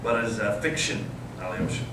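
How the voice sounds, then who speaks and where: A man playing a brooding, cynical intellectual in readers theater, speaking with measured, ironic intensity. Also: fairly low pitch, fairly even intonation, natural voice. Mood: defensive, wry. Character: brooding, cynical intellectual brother, a provocative storyteller. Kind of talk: staged readers theater dialogue. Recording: echoey noisy room, distant microphone.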